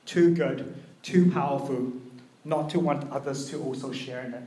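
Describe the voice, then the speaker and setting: A young man giving a conversational sermon, earnest and personal, with a gently hesitant delivery. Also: mid-range voice, moderate pace, roomy sound, a little hiss or hum. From New Zealand and Malaysia. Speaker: preacher.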